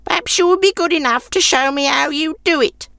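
A woman's voice: sits high, brisk, vocal fry, fairly bright tone, no echo, clean studio sound. A woman voicing a sour, grudging, sceptical old peasant woman in a folk tale, with a scornful, snappish manner. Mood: grudging curiosity.

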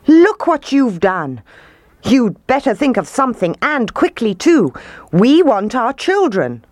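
Woman as angry townsperson, scolding, loud, demanding, high-lifted pitch, storybook telling. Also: sing-song, takes it slowly, dry recording. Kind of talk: children's storybook character voice.

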